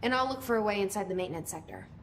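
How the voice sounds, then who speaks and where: An actress playing a determined young woman who is thinking aloud in a low inner monologue. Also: animated, very fast, a little hiss or hum, natural voice. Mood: focused.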